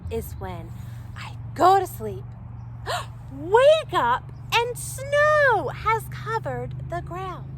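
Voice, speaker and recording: Slow, lilting, high-voiced woman reading to children. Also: slight background noise. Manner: expressive, drawn-out words, asks listeners questions. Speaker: children's storytime reader. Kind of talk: animated read-aloud for young children.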